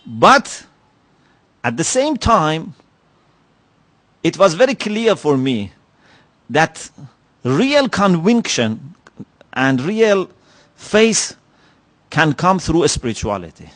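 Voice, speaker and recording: Man w/ giving a lecture, slightly high voice, slow and thoughtful, lots of "you know". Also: very animated, fairly bright tone. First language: Persian. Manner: measured, reflective, with many pauses and "you know" fillers. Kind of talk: religious lecture. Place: lecture hall.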